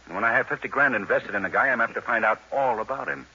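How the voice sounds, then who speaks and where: An actor playing a cool, menacing casino racketeer in a 1940s radio crime drama, clipped and wry. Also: male voice, medium pitch, expressive, quick pace, sharp tone, husky, full character voice. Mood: cool, controlled. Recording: muffled vintage radio with faint hiss.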